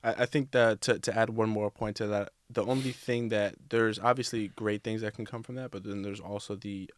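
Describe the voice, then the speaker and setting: A young man in his twenties speaks as a podcast guest, his lowish voice smooth and clear. He stays flat and even in tone, reflecting candidly. The sound is dry and close. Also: normal speed, no room sound. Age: 20s. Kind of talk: casual interview conversation.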